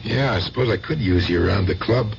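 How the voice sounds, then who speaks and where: An actor playing a brusque, tough-talking but good-hearted middle-aged uncle who runs a gambling club, in radio drama style. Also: male voice, fairly low pitch, a little fast, creaky. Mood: gruff, easygoing. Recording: muffled radio drama recording, loud hiss.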